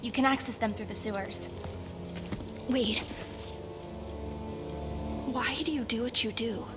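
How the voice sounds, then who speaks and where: A woman's voice: on the high side, measured pace, lightly acted. A woman playing a clever, curious teenage girl in a video game, confident and matter-of-fact. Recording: music behind the voice.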